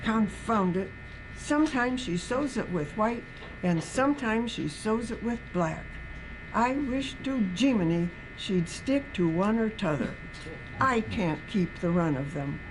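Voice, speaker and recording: A woman's voice: mid-range voice, expressive, unhurried, background noise, natural voice. A woman voicing a mischievous, evasive young boy with folksy country speech in a storybook. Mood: grumbling.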